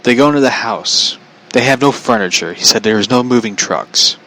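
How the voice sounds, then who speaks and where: A man's voice: medium pitch, moderate pace, bright, forceful tone, slight background noise, low-quality recording. An American man telling stories casually as a show host, rambling in a blunt, slangy, profane way. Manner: chuckles often while talking.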